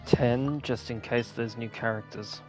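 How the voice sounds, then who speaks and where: A man hosts a gaming video with casual, unscripted commentary. He speaks slowly at an average male pitch, rambling in an offhand way. Soft game music plays faintly behind his voice. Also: steady tone, poor audio.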